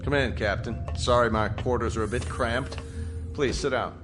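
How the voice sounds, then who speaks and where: An actor playing a reasonable military colonel in a radio drama, polite and probing in a measured way. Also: male voice, mid-range voice, steady tone, over music, natural voice. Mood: courteous. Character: courteous, reasonable military colonel commanding a rescue spaceship. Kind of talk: radio drama acting, conversational.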